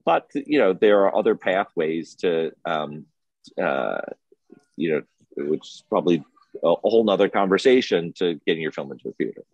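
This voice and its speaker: A low-pitched man answers in an unscripted discussion. He speaks haltingly, often circling back to find his words. The sound is dry and somewhat dull, like a video call. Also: animated, darkish tone, husky, no room sound. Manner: halting and hesitant, groping for words. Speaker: film festival programmer and panel guest. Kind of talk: unscripted panel conversation.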